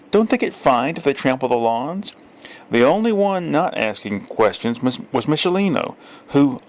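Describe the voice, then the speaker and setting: A man reading a literary story aloud as narrator, with measured, deliberate phrasing. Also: medium pitch, gravelly. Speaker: audiobook reader.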